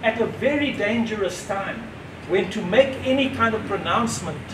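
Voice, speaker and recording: A man in his sixties giving a reflective, measured speech to an audience at a gallery opening, with pauses between phrases. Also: high voice, lively intonation. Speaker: speaker at an exhibition opening. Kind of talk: unscripted public speech. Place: gallery entrance, indoors facing an audience. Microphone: far camera, no mic seen. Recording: roomy, distant, noisy ambience.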